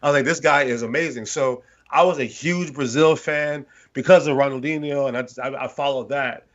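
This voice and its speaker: A man's voice: medium pitch, a little fast. A man born in London to a Nigerian family, co-hosting a sports podcast and telling a long, rambling story in casual chat. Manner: rambling, animated storytelling with restarts. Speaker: podcast co-host. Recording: slightly dull lo-fi podcast audio.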